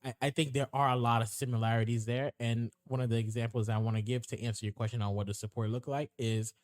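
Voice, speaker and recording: A man's voice: medium pitch, little pitch movement, no room sound, studio quality. A young man co-hosting a podcast, laying out his examples step by step in casual discussion. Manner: measured, lays out examples step by step. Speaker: podcast co-host.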